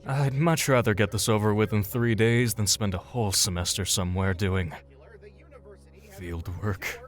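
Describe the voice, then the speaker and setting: A man's voice: lowish voice, steady tone, normal speed, natural voice. A man playing an anxious, fussy young student, polite and worried, in a comedy audio drama.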